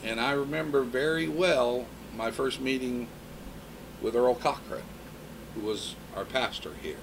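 A man's voice: medium pitch, sharp tone, a little hiss or hum, poor audio. Man in his fifties preaching a sermon. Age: 50s. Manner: conversational preaching with personal stories.

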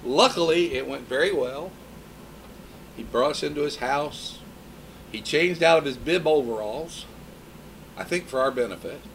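A man's voice: fairly high pitch, animated, a little slow, long pauses, slight background noise, low-quality recording. A man in his fifties preaching a sermon in a conversational way, mixing scripture with personal stories. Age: 50s.

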